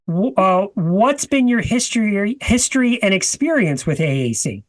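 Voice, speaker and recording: A man's voice: high-pitched, very animated, normal speed, no echo, studio quality. A man hosting a casual interview, chatty, with many restarts and easy laughter. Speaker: podcast host.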